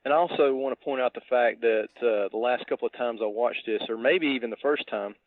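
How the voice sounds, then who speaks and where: A man co-hosting a podcast over a tinny line, casually discussing a film in a halting, thinking-aloud way. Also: mid-range voice, no echo. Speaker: podcast co-host. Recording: tinny, dry phone-like line.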